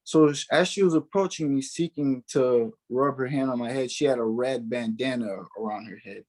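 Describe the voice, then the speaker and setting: A man of about twenty-two, from Haiti and the United States, shares a personal testimony. He sounds earnest and stresses key words by repeating them, with an average-pitched voice. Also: moderate pace, darkish tone. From born in Haiti, raised in the United States. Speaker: guest giving testimony.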